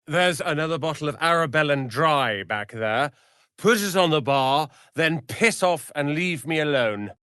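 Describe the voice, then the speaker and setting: Proud young male wizard, haughty, articulate, theatrical, sharp-tongued, fantasy video game, dry close sound. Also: fairly high pitch, expressive, measured pace, clean tone, no echo. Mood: angry, indignant.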